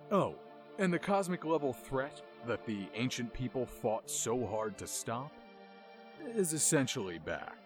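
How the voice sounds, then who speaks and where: A man narrating a scripted video essay as its host, with an expressive, dramatic storytelling delivery. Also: medium pitch. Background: music under the voice.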